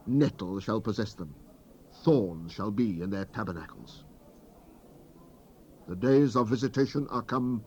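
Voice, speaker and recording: A man's voice: mid-range voice, slight background noise, lightly acted. A man playing a stern Hebrew prophet who declaims God's wrathful judgment in a solemn, emphatic reading of dramatized archaic scripture. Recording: dull lo-fi 1970s cassette rip.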